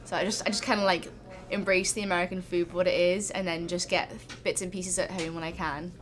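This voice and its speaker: A young woman from England with an English accent, answering interview questions in a relaxed, rambling way. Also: fairly low pitch, fast, noisy background. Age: young adult.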